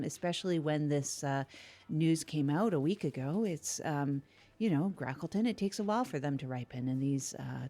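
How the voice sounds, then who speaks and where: A woman's voice: low voice, darkish tone. A woman co-hosting a comedic podcast in improvised chat, with chatty, hesitant asides to the listener. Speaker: podcast co-host.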